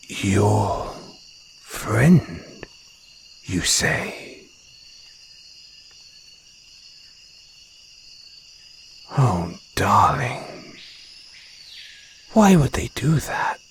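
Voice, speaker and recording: A man acting a territorial male naga in an intimate audio roleplay, speaking in a hushed, deliberate, breathy voice with long pauses. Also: on the low side, full character voice. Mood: flirtatious, coaxing. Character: wary, territorial male naga, softening into flirtatious care. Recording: jungle insect ambience behind voice. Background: crickets and jungle ambience.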